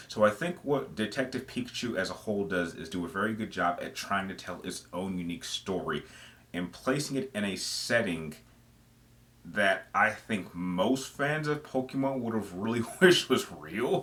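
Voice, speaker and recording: A man's voice: low-pitched, pushed and bright. A man giving casual, semi-scripted commentary as the host of a gaming video, explaining his opinions conversationally and stressing phrases for emphasis.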